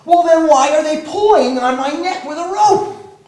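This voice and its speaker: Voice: sits high, animated, slow pace, voice pushed up. A storyteller voicing a bewildered, plaintive sacred cow, in a very echoey room.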